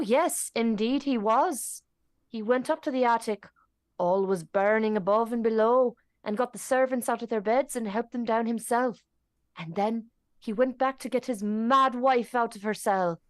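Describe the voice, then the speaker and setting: A woman plays a gossipy servant in a period radio drama. She tells her grim tale slowly and with relish, deferential yet dramatic. The sound is close and dry. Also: on the high side, dry recording, natural voice. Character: gossipy, dramatic old-time English local servant witness.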